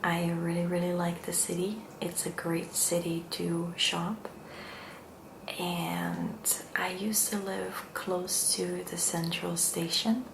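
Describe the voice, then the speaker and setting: A Dutch woman with a Dutch accent, speaking English as a second language in a gentle, hushed, soft-spoken ASMR style as she hosts. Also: on the low side, gravelly, reverberant, background noise. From Netherlands. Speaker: ASMR video host.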